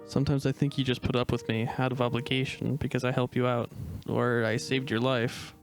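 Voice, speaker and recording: A man voicing an awkward, self-doubting young male wolf in an amateur dramatic reading, speaking with hesitant pauses. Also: mid-range voice, flat delivery, soft background music, natural voice. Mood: insecure. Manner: hesitant, halting, unsure.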